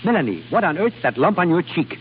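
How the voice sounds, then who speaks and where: An actor playing an exacting, unsentimental guardian with a clipped, lecturing manner in an old radio drama. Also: male voice, fairly high pitch, sing-song, quick pace. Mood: stern, scolding. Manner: clipped, stern, dryly lecturing. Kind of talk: 1930s-40s radio drama acting. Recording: tinny phone-like, hissy old radio broadcast.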